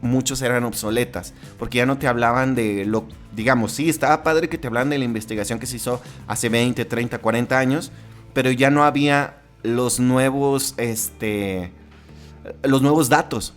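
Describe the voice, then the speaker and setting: A man's voice: mid-range voice, moderate pace, soft background music. A man with a Mexican accent hosting a solo podcast in a casual, conversational way, with frequent restarts and tag questions. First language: Spanish. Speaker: podcast host.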